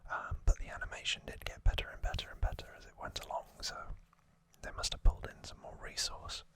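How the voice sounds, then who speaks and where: An ASMR host speaking in an unhurried, informal whisper, chatting through commentary close to the microphone. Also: a bit bright. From United Kingdom. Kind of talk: whispered ASMR, relaxed show-and-tell commentary.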